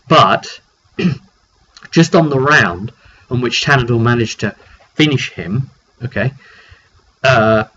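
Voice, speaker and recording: A man's voice: mid-range voice, fairly bright tone. Dull, lo-fi male game master storytelling. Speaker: game master recounting a session. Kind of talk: casual storytelling of a tabletop roleplay session. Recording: dull lo-fi home recording, faint background noise.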